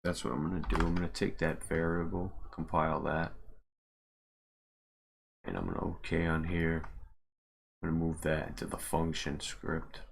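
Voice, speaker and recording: A man's voice: a bass voice, flat delivery, moderate pace, creaky, pauses often, slight background noise. A man casually muttering through his steps as he thinks aloud during a live screen-share tutorial. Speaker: streamer, game modder. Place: home, at a computer.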